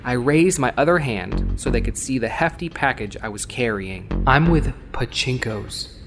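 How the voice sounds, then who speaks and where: A man's voice: mid-range voice, expressive, normal speed, vocal fry. A man narrating a first-person cyberpunk story in a conversational, wry, dramatic audiobook style. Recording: voice over prominent synthwave music. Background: synthwave music soundtrack.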